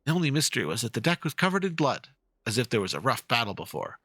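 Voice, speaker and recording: Man as a theatrical, creaky ghost-story teller. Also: medium pitch, big pitch swings, quick pace, fairly bright tone, dry recording, studio quality. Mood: eerie, suspenseful. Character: dramatic storyteller posting a spooky ghost-ship legend.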